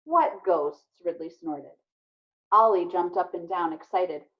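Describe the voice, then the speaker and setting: A woman's voice: lowish voice, measured pace, reverberant. A woman reading a children's novel aloud as storyteller, acting out the dialogue. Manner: acts out dialogue. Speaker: story reader. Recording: muffled, lo-fi recording.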